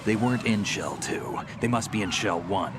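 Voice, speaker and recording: An actor playing a seasoned soldier in a video game, gruff, laconic and dryly matter-of-fact. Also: male voice, lowish voice, expressive, moderate pace, music in the background, close to an everyday voice. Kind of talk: video game dialogue acting.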